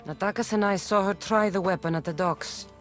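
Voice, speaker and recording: A woman's voice: medium pitch, brisk, faint music behind, close to an everyday voice. An actress playing a bold, wry mercenary woman of ancient Greece in a video game, confident and direct. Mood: businesslike. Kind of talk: video game cutscene acting.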